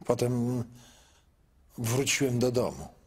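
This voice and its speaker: A male actor playing a grown son in a radio drama, speaking in a measured, heavy, quietly pleading way. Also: medium pitch, husky. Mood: weary, pleading. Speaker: son narrating his story.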